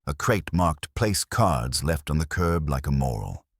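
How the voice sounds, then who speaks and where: A man's voice: very deep voice, expressive, a little slow, creaky, breathy edge, dry recording, studio quality. A man reading scripted detective fiction as its narrator.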